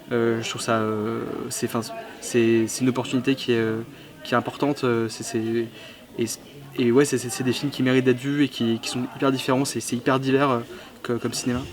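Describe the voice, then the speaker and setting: A young man from the south of France, a film director, answering interview questions at a film festival, halting with many fillers and restarts. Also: medium pitch.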